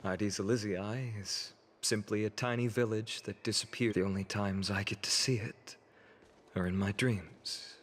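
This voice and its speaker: A man's voice: fairly low pitch, fairly even intonation, measured pace. A man voicing a good-natured, bantering young hero in a video game. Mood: wistful. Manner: friendly, bantering. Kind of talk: scripted video game voice acting.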